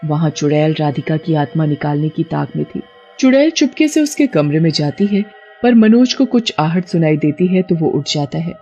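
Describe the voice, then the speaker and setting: A woman's voice: deep voice, expressive, moderate pace, dark tone, slightly rough, highs cut off, faint music behind. A woman narrating a scripted story dramatically as a storyteller.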